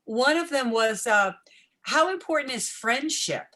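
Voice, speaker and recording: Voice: mid-range voice, lively intonation, unhurried, pushed and bright. A moderator reading audience questions aloud, hesitant, with many fillers before each one.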